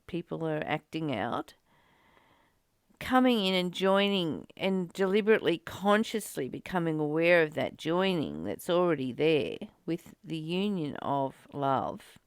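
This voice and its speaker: Woman, slow unhurried pace, slightly low pitch, earnest, halting, reflective spiritual talk, close dry sound. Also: smooth, no room sound. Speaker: study group reader and discussion partner. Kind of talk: reflective spiritual discussion with passages read aloud.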